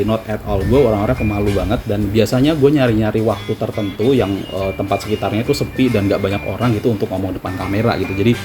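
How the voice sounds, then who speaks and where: A man with a Javanese accent chats rapidly to the camera in a slangy, self-deprecating way. His voice sits low, and clear background music plays under his talk. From Java. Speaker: travel vlogger.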